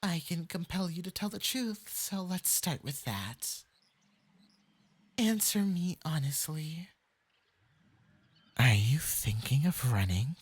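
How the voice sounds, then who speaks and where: A performer with a light, breathy voice playing a clingy, lovestruck fox-spirit femboy mage in an intimate ASMR roleplay, coaxing sweetly and possessively. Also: mid-range voice, slow, pauses often, exaggerated voice. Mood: possessive, calm. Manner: sweetly coaxing, possessive, swinging into eager excitement.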